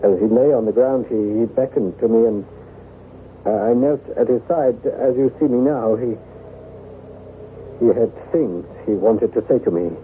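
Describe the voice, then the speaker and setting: An actor playing a brooding, guilt-ridden former soldier in a radio drama, speaking in a halting, earnest, melodramatic way. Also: male voice, mid-range voice, natural voice. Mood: remorseful. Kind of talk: 1970s radio drama acting. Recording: phone-like old radio broadcast, faint noise.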